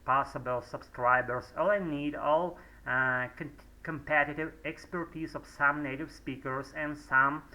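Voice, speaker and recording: A man's voice: on the high side, fairly even intonation, unhurried, bright, forceful tone, poor audio. A man whose first language is Russian, rambling through an unscripted English monologue at home, hesitant, full of fillers and restarts. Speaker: English learner vlogger. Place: home room, indoors.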